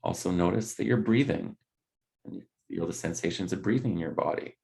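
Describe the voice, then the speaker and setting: A man leading a guided body scan meditation, speaking gently in unscripted, hesitant phrases. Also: low voice, brisk, gravelly.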